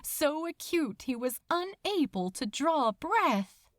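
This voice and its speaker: Female fiction narrator, suspenseful and emphatic, very animated melody, dry close sound. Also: high-pitched, dry recording. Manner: theatrical, suspenseful, deliberate emphasis. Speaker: podfic narrator. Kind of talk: dramatic scripted story narration.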